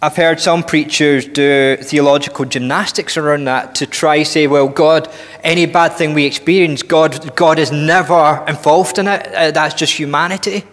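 A man in his thirties preaching a sermon in a church hall on a headset mic, earnest and deliberate, often restarting and building emphasis. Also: fairly high pitch, steady tone, a bit bright. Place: church hall with long reverb.

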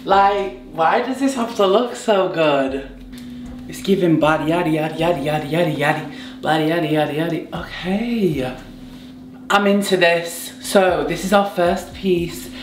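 Hoarse, bubbly woman vlogging. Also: fairly low pitch, measured pace, slight background noise. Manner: chatty, bubbly, playful asides. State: hoarse from a sore throat. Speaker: fashion vlogger. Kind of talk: casual try-on haul chat. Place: bright indoor room at home. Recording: echoey room.

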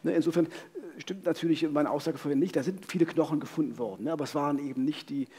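A man's voice: a little high, fast talker, warm tone, slightly rough. A middle-aged man co-hosting a live true-crime talk, phrasing questions in a searching, self-correcting way. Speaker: co-host. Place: vaulted venue, live audience on stage. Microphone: headset.

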